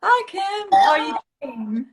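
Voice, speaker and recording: A woman's voice: very high voice, big pitch swings, unhurried, vocal fry, very noisy. A woman from South Africa hosting a casual live video chat, interviewing a guest in an upbeat, chatty way. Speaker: host.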